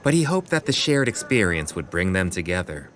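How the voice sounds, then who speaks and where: A man's voice: sits low, sing-song, a little fast, a bit bright, slight background noise. A man narrating a scripted audio drama in a storytelling style.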